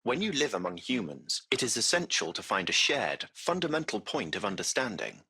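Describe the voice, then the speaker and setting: A polite ai cab driver from a game, male, formal butler talk, slightly husky n gravelly, curious. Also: fairly low pitch, very animated, moderate pace, pushed and bright, exaggerated voice. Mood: courteous, serene. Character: courteous, curious AI taxi chauffeur, male-voiced machine. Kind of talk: scripted video game character dialogue.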